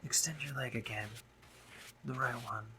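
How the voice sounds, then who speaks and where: A man playing a doting, teasing gentlemanly spider-monster painter in a romantic roleplay audio drama, with genteel phrasing, hesitant pauses and drops into whispers. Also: medium pitch, fairly even intonation, noisy background, lightly acted. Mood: wistful, modest.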